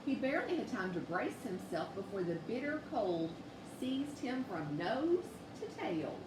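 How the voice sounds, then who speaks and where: A woman reading a children's bedtime story aloud with expressive, measured delivery. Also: medium pitch. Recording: echoey room, distant mic, noisy background.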